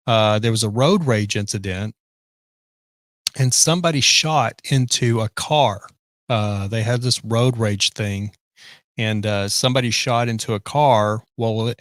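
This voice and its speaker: A man's voice: mid-range voice, no echo, clean studio sound. A man hosting a live podcast stream, chatting casually and reading viewer comments. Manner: rambling, reads viewer comments. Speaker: podcast host. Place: home office.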